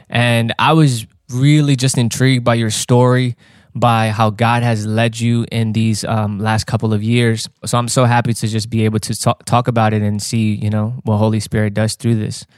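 A man's voice: medium pitch, no room sound, studio quality. A man hosting a podcast, introducing and interviewing a guest in a friendly, upbeat, casual way. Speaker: podcast host.

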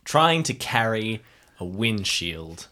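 A man improvises and banters casually as a player on an audio drama podcast. His delivery is animated, with a lot of movement in the pitch. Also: slow pace, a little hiss or hum.

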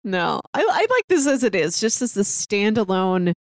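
A woman's voice: mid-range voice, big pitch swings, normal speed, no room sound. A woman with a mid-range voice talks casually as a co-host on a film discussion show. Her delivery is chatty, with light laughter often slipping into her words. The sound is close and dry. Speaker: movie podcast co-host.

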